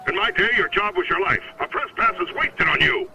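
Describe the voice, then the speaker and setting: An actor playing an irascible older newspaper boss, scolding and blustering through a phone filter in a video game. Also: male voice, high voice, brisk, creaky. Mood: irate. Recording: muffled phone line, street noise.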